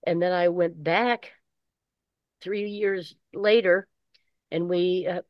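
Slow-talking older Midwestern American woman. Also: fairly low pitch, fairly even intonation, no echo. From Michigan, United States. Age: 60s or older. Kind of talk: casual chat. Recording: dull lo-fi call audio.

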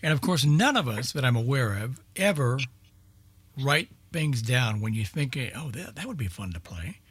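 A man's voice: mid-range voice, big pitch swings, moderate pace, fairly bright tone, slightly rough, dry recording. A man in casual group conversation, talking as a co-host of an old-time radio program.

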